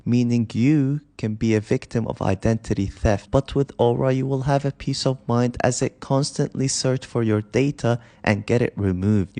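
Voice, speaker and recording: A man's voice: medium pitch, a little mellow. A man presenting a scripted narration as the host of a video. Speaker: host of scam-exposing video channel.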